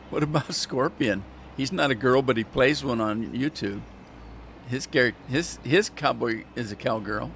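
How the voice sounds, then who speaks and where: A man's voice: medium pitch, a little slow, vocal fry, fairly bright tone, a little hiss or hum. A man giving casual, rambling commentary while playing a farming game, chatting with viewers and joking in asides. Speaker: gaming channel host.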